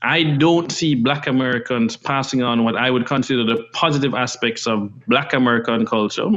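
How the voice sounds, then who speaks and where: A man from Jamaica speaks as a podcast guest, laying out his argument in numbered steps with earnest emphasis. His voice sits at a middling pitch. The recording sounds a little dull and lo-fi. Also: moderate pace, fairly bright tone. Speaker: investment firm CEO, guest. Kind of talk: podcast interview.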